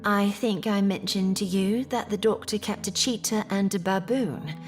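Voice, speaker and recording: Actress doing a troubled young lady in an audio drama, formal n earnest, measured telling, music playing behind. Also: mid-range voice, steady tone, lightly acted. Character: troubled young gentlewoman recounting her sister's death.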